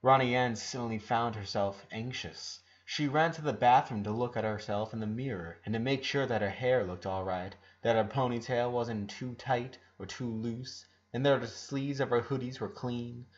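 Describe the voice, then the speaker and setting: A man's voice: medium pitch, normal speed, few pauses. Man narrating a story, dull lo-fi sound. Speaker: narrator reading fan fiction aloud. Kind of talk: scripted narration, audiobook-style story reading. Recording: dull lo-fi recording.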